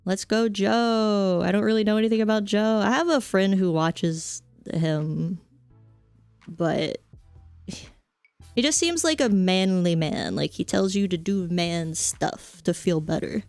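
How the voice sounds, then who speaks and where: A woman's voice: mid-range voice, unhurried, darkish tone, dry recording, soft background music. A young adult woman streamer chatting casually, rambling with lots of fillers and offhand jokes. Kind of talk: casual chat stream, reading chat.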